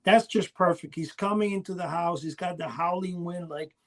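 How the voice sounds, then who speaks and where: A man's voice: sits high, normal speed, crowd noise. A man hosting a casual podcast chat, telling rambling, enthusiastic stories. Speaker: podcast host.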